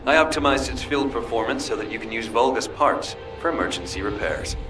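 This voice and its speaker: A man's voice: medium pitch, a little fast, natural voice. Distant, cool military engineer played by a man. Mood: assured, instructing. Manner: dry, curt, matter-of-fact. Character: cool, pragmatic male military engineer, aloof teammate. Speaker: drone designer. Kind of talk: video game voice acting. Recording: distant voice under clear music.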